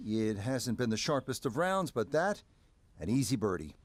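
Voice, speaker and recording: A man giving calm, measured play-by-play commentary on golf in a video game. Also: medium pitch, dry recording. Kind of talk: sports commentary.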